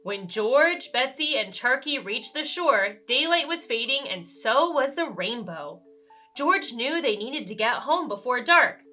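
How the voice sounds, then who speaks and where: A woman reading a children's storybook aloud in an expressive way, speaking directly to young listeners. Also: fairly high pitch, a little slow, faint music behind. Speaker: children's read-aloud host. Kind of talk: animated children's storybook narration.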